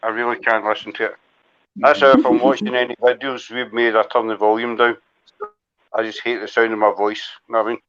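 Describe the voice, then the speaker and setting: A man's voice: mid-range voice, flat delivery, brisk, fairly bright tone. Scottish guy rambling on a live chat, jokes at his own expense, kinda muffled lo-fi audio. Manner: chatty, rambling, self-deprecating. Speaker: host. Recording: dull lo-fi audio.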